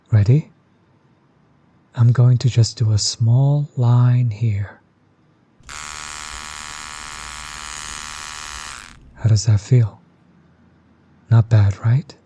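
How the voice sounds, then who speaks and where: A man playing a chill, friendly tattoo artist in an intimate audio roleplay, soft-spoken, easygoing and reassuring. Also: mid-range voice, fairly even intonation, slow pace, pauses often, dull audio, noisy background, lightly acted. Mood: gentle, attentive. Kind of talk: intimate one-sided audio roleplay, casual chat.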